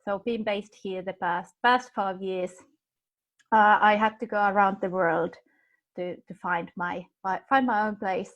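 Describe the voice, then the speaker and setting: A woman's voice: medium pitch, fairly even intonation, measured pace, highs cut off. A middle-aged woman, talking informally as a panelist on a video call in stop-start phrases. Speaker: panelist, tourism programme head. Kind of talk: informal self-introduction on a panel.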